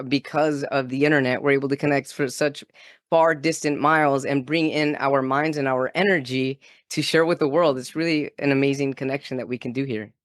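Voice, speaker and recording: A man's voice: a little high, no room sound, clean studio sound. A man hosting a podcast, effusive and enthusiastic, repeating questions and praising his guest. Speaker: podcast host. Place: remote, in California.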